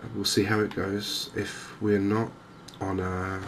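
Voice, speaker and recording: A man softly narrating a game in ASMR style, hushed and hesitantly thinking aloud. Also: on the low side, flat delivery, unhurried. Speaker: gaming video host. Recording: noticeable background ambience noise. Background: game ambience.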